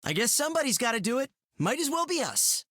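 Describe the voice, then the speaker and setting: A man's voice: very high-pitched, sing-song, fast talker, bright, forceful tone, no echo, studio quality, exaggerated voice. An actor playing a joking young male web-slinging hero with a playful, quippy manner in a video game.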